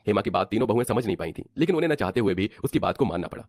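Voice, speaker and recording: A man's voice: on the low side, lively intonation, rapid-fire, darkish tone. A man narrating a scripted cartoon family story as the storyteller.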